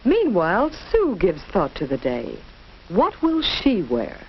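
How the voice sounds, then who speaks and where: A woman's voice: fairly low pitch, wildly swooping pitch, slow. A woman giving scripted, instructive narration in a 1940s educational film style, carefully enunciated. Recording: dull, noisy 1940s film soundtrack.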